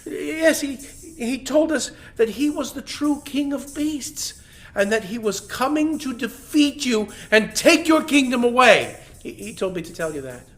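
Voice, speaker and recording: A small trickster rabbit speaking softly and meekly, all flattery and slyness, as a storyteller puts him on. The delivery is unhurried and bright. Faint music plays underneath. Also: high voice, pitched above the performer's own voice, heavily acted. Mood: sly. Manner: meek, flattering.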